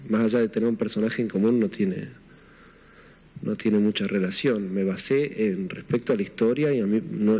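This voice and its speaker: A film director answering at a press conference in a measured, reflective way. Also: male voice, on the low side, warm tone, breathy edge, muffled recording.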